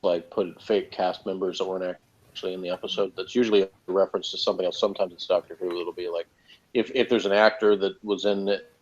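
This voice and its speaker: A man's voice: low voice, level tone, quick pace. A man in a casual review chat as a podcast reviewer, speaking in a sardonic manner. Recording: slightly dull, lo-fi audio.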